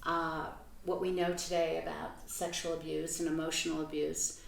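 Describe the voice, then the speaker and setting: An older woman from Belgium talks through her life story in an interview at home. She is earnest and reflective and pauses to find her words. Her voice sits low for a woman and sounds roomy, caught by a mic well away from her. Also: flat delivery, creaky, recorded from a distance. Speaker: interview guest.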